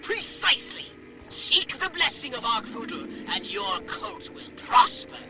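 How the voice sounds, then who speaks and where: A man voicing a pompous, excitable alien commander in a video game, speaking in a grandiose, theatrical, wordy way. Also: very high voice, measured pace, music in the background, exaggerated voice. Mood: instructive. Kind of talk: video game cutscene acting.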